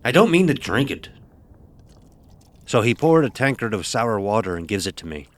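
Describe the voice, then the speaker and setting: Man playing a low-voiced stern ship's officer. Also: sing-song, normal speed. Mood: stern commanding. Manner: commanding, archaic nautical speech. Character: stern, gruff ship's officer recounting a sea tale. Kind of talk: first-person dramatic storytelling, audio horror.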